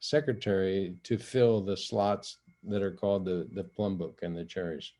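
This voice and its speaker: Older African-born man, Swahili first language, lowish voice, measured interview guest with many restarts. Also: steady tone, dark tone. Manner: measured storytelling with frequent restarts and light laughs. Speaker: guest, retired general and envoy. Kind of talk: interview conversation.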